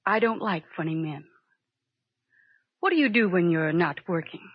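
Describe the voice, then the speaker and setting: An American actress playing a wry, world-weary secretary with a dry, deadpan delivery in a 1940s radio detective drama. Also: female voice, lowish voice, normal speed, slightly rough. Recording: dull, noisy vintage radio recording.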